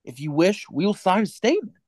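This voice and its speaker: A man playing an earnest, anxious rebel in a radio drama, with a hesitant, halting delivery. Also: sits high, big pitch swings, a little mellow, dry recording, studio quality. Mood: nervous, resolute. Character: earnest, anxious male rebel and lover in a dystopia. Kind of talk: radio play acting.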